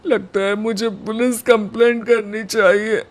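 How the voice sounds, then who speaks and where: A man playing a playful, romantic and easily worried young husband in a cartoon story, with a dramatic, expressive delivery. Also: high-pitched, a little slow. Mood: remorseful. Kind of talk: cartoon storybook character acting.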